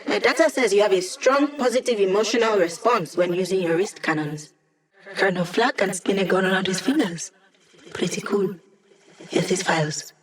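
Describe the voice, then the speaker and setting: A woman's voice: medium pitch, moderate pace, natural voice, poor audio. Casting: actress as a young female hacker turned digital mind, wry and playful squad helper for a video game, mid-range voice. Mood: wry, focused. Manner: casual, playful, teasing. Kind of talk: video game character voice lines.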